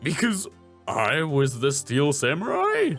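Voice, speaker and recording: Male performer as naive, tender-hearted game character: husky, gravelly, swooping pitch, plaintive and hesitant. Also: huge pitch swings, slow pace, fairly bright tone, faint music behind, exaggerated voice. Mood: grateful, naive. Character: sad, naive, soft-hearted male TV hero actor.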